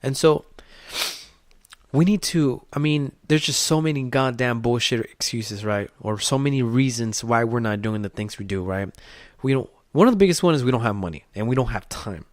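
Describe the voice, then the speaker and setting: A man's voice: medium pitch, moderate pace, no room sound, clean studio sound. Blunt, profane motivational podcast host, a man. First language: Spanish. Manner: blunt, profane, fires off rhetorical questions. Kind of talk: motivational monologue.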